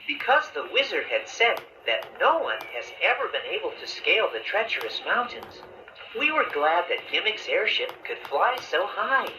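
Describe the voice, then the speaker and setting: A friendly bear storyteller narrates a children's adventure. The delivery is measured yet melodic in pitch. Music plays behind, and the sound is echoey. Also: male voice, big pitch swings. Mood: storytelling.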